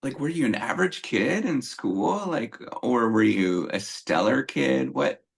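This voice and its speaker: Male host, husky, gravelly, low, rambling questions. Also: animated, measured pace. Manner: rambling, hesitant, friendly questions. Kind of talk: casual interview chat.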